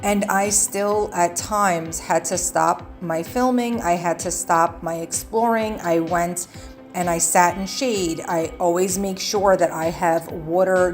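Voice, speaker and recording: Female travel vlogger, slightly low voice, chatty and earnest, faint music underneath. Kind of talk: casual travel guide narration. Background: background music.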